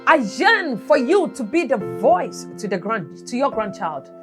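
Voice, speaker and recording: A woman's voice: on the high side, big pitch swings, a little slow, music in the background. A woman hosting a personal finance channel, talking earnestly to her viewers and asking them what they would do. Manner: earnest, preachy, asks the viewer questions. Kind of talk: solo talk to camera.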